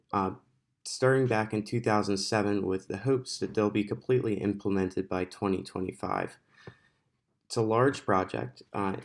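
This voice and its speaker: A man with a fairly low voice walks through an explanatory presentation. He speaks as a student presenter, with halting phrases and pauses in the middle of sentences.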